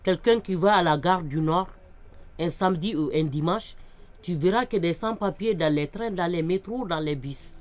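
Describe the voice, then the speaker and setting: Woman, fairly low voice, emphatic, plainspoken testimony. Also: moderate pace.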